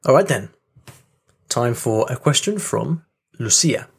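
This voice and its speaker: A man's voice: mid-range voice, expressive, unhurried, creaky, breathy edge. A man hosting a podcast in casual solo chat, talking in a chatty, rambling way with restarts. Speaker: podcast host. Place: home room with rain on the windows.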